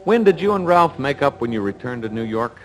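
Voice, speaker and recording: A man's voice: mid-range voice, sing-song, fairly quick, slight background noise, poor audio. An American man hosting a radio drama, reading scripted narration in a 1950s announcer style.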